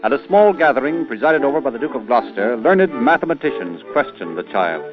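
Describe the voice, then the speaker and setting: A man reading scripted narration and announcements as an old-time radio announcer, in formal, emphatic, measured phrases. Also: medium pitch, big pitch swings. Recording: muffled vintage radio recording, music behind. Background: music.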